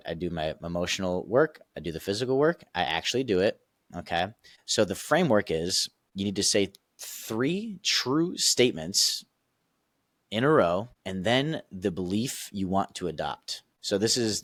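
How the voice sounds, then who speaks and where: A man's voice: low voice, lively intonation, moderate pace, a bit bright, dry recording, studio quality. A man on a podcast as a guest coach, explaining his method casually and emphatically, checking in with the listeners as he goes and laughing easily.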